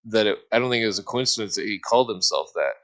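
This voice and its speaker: Man, slightly low pitch, sharp bright tone, rambling casual talk, halting and stammering. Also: animated. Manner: halting, stammers over words.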